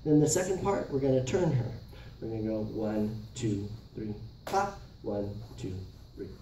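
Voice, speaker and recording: A man's voice: lowish voice, a little slow, mellow. A middle-aged man teaching a dance, giving short instructions and calling out counted steps. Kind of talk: dance lesson demonstration with step counting. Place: large carpeted indoor multipurpose room. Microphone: camera across the room. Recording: roomy, distant camera mic, faint noise.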